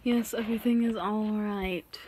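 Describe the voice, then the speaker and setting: A woman playing a reserved office boss who is guarded but softening, speaking haltingly in an intimate ASMR roleplay. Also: medium pitch, steady tone, a little hiss or hum, natural voice. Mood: guarded, curt. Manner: halting, measured delivery with sighs.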